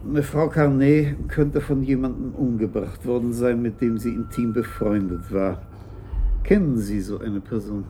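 An actor playing a formal, probing police detective who questions tersely in a German radio play. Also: male voice, mid-range voice, steady tone, unhurried, warm tone, slight background noise, natural voice.

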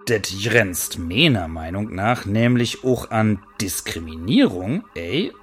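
A brash Berlin housewife with a very low voice. She talks straight to the listener in slangy dialect, cheekily sure of herself, slipping in pompous official words as in a comic radio play. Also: very animated, slow, a bit bright, slight background noise, full character voice. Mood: cheeky, chatty. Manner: chatty, slangy dialect with mock-formal turns. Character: brash working-class Berlin housewife Edith Schröder, cheekily self-confident.

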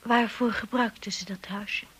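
An insecure young wife in a radio play, her voice breathy and fairly low. She confides hesitantly and earnestly, often dropping into a hush. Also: steady tone, a little slow. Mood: hesitant, probing. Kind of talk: Dutch radio drama acting.

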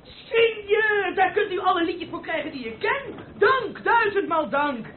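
A man's voice: very high voice, animated, measured pace. A man playing a poor, courteous street singer in a Dutch radio play, deferential, grateful and eager to please. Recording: muffled old radio recording with music.

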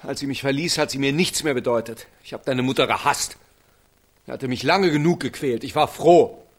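An actor playing a bitter, wounded middle-aged father in a German radio drama, with a husky voice. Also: medium pitch, normal speed, natural voice.

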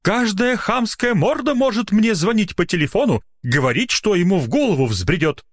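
A man's voice: sits high, big pitch swings, normal speed, dry recording, studio quality, pitched above the performer's own voice. A man voicing an indignant, blustering, officious doctor in a narrated story, easily flustered and outraged. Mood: exasperated, angry. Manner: officious, blustering, self-justifying.

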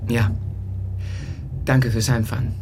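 An actor as hesitant, soft-spoken radio man, Xavier. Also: mid-range voice, flat delivery, takes it slowly, noisy background, close to an everyday voice. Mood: careful, apologetic. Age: middle-aged. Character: Xavier, soft-spoken eccentric radio presenter in his thirties. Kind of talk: German radio drama acting.

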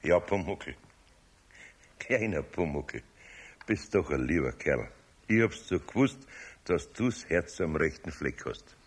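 An older man with a Bavarian accent playing a kindly, patient, gently teasing master carpenter in a children's radio play. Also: low voice, animated, slow, long pauses, husky, heavily acted. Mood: tender.

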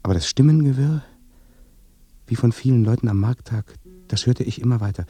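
An actor playing a haunted, weary dead son in a German radio play, speaking in a hushed, brooding way. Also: male voice, mid-range voice, brisk, slightly rough, no echo, faint music behind, lightly acted. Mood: uneasy, haunted. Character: dead son recounting his death by fear, haunted, weary. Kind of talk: German radio play acting, slow intimate monologue.

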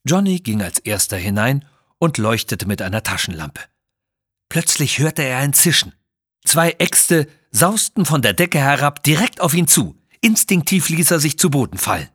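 A man's voice: mid-range voice, a bit bright, husky, no room sound, studio quality. A man narrating a children's adventure story in a scripted, dramatic style. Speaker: audiobook narrator.

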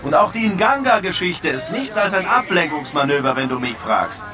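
An actor playing a determined police detective in a radio drama, talking assertively and matter-of-factly to a colleague. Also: male voice, sits high, expressive, normal speed, crowd noise. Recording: distant-sounding voice, music behind.

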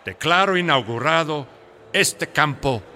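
An actor playing a smug foreign company manager in a radio drama, giving stiff corporate speeches. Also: male voice, a little high, expressive, unhurried, pushed and bright, a little hiss or hum, full character voice. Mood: self-satisfied.

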